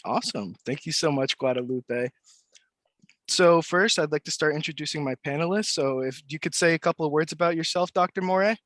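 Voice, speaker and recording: A man hosting an online panel webinar, introducing guests in a relaxed, friendly way. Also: a little high, fairly quick, dry recording, studio quality. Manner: friendly, relaxed, repeats thanks. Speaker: webinar host. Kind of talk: casual panel moderation.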